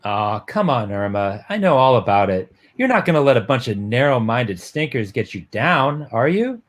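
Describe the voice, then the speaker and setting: A man's voice: mid-range voice, sing-song, moderate pace. A man playing a loyal young boyfriend, tender, coaxing and earnest, in a dramatic romance-comic reading.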